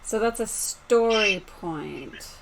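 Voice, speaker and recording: Slow-talking woman playing a tabletop game. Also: medium pitch, lively intonation, vocal fry, poor audio. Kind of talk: casual game-table chat. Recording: faint noise on home microphone.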